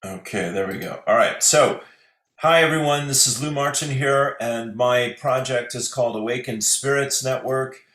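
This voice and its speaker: A man's voice: medium pitch, normal speed, fairly bright tone. A man hosting an online spiritual interview show, speaking casually over a video call and affirming his guest often. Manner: friendly and affirming, frequent "yeah" and "beautiful". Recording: roomy video call audio.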